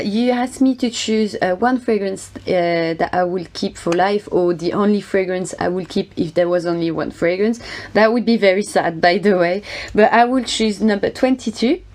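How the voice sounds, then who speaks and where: A woman guest, a fragrance reviewer, talking earnestly about her chosen perfume with many uh pauses. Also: mid-range voice, a little mellow, slight background noise. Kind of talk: guest segment in a video collaboration.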